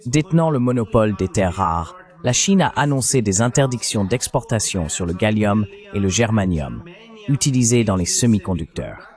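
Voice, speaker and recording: A man's voice: lowish voice, lively intonation, normal speed, darkish tone. A man delivering scripted political and economic commentary in a formal, didactic manner. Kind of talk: scripted analytical narration.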